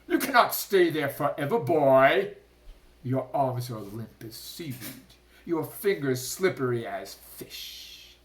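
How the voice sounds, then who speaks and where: A man voicing a greedy, raging male shark king in a storybook reading, with drawn-out shouts and hissed threats. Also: animated. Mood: gloating. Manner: booming, drawn-out shouts and menacing whispers.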